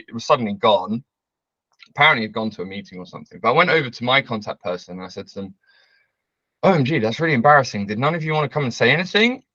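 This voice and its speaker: A man, telling a story as a guest on a video call, loud and brash, laughing often. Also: mid-range voice, dry recording, lo-fi sound. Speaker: interview guest. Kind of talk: casual storytelling. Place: bright home room with large windows.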